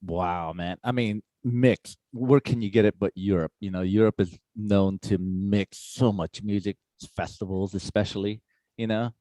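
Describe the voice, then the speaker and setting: Very deep-voiced enthusiastic male host. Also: fairly even intonation, measured pace, warm tone, no room sound. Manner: enthusiastic, rambling questions. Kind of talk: casual interview chat.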